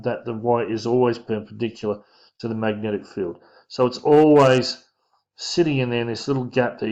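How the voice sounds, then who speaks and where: A man giving an informal physics lesson, talking through diagrams in a casual, halting way as he thinks aloud. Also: mid-range voice, darkish tone. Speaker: physics teacher. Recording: dull lo-fi microphone sound.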